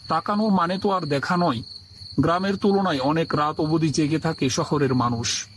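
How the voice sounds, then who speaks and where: A man's voice: on the high side, slight background noise. A man narrating a scripted first-person horror story in a dramatic, suspenseful way.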